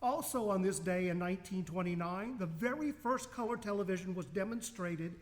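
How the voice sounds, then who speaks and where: A man's voice: high-pitched, brisk. A man reading a scripted segment as a radio sidekick, in a folksy, presentational way. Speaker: radio sidekick and resident historian. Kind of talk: scripted comic on-this-day history segment. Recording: long hall reverb.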